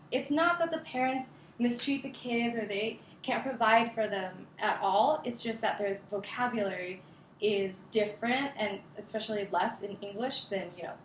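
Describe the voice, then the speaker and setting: A young woman delivers a class speech at a middle pitch, earnest and a little scripted, with casual fillers slipping in. Her voice rings in an echoey classroom over a faint background hum. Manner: earnest, reads prepared points with casual fillers. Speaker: student giving a class speech.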